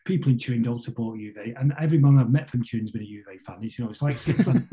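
Mellow, dark-voiced Mancunian man, rambling chattily. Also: mid-range voice. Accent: Manchester. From Manchester, England. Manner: rambling, halting, chatty with tag questions. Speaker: interview guest. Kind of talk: casual conversational football chat.

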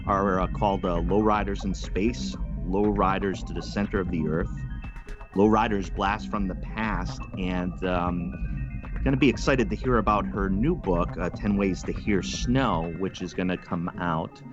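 A man hosting a podcast interview, talking in a halting way with many ums and restarts. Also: low voice, lo-fi sound, over music. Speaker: podcast host.

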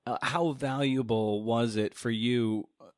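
A man's voice: medium pitch, steady tone, unhurried, no room sound, clean studio sound. A man hosting a podcast interview, asking long conversational questions in wordy, searching phrasing.

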